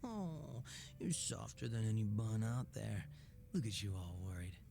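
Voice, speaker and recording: A former gang boss in a game cutscene, his low voice full of gravelly fry. He is laid-back and sardonic, teasing and swearing casually over background music. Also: very animated, measured pace, exaggerated voice. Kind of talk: English video game cutscene acting. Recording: music under the dialogue.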